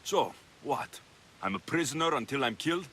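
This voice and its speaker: An actor playing a determined, wry young Spartan warrior in a video game, speaking in a terse, dry way. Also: male voice, medium pitch, expressive, moderate pace, sharp tone, a little hiss or hum. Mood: defiant. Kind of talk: video game dialogue acting.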